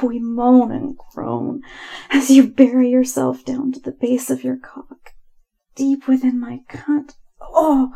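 A woman with a very slow, breathy delivery and a gravelly creak in her fairly high voice. She narrates intimate adult audio in a hushed, lingering way, often slipping into a whisper.

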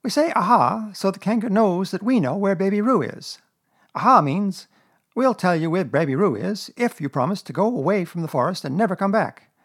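A self-important storybook rabbit, put on by a man, raised well above a normal male pitch and projected firmly. He lectures briskly and pompously, like one who makes all the plans. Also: expressive, slightly rough, full character voice. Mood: bossy, lecturing. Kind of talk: children's storybook character reading.

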